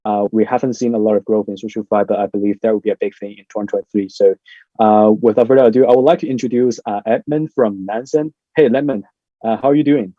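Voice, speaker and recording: A man's voice: fairly low pitch, warm tone, no echo. A man hosting an online panel, introducing guests in a casual way with many fillers. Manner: casual, filler-heavy, upbeat. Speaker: host. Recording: dull lo-fi sound.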